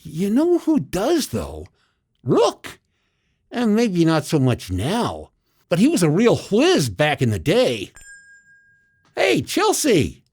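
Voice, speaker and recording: An actor playing an older, folksy American diner cook and army veteran in a radio drama, plain-spoken and a little hesitant. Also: male voice, high-pitched, wildly swooping pitch, takes it slowly, pauses often, a little mellow, husky, full character voice. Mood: friendly, eager.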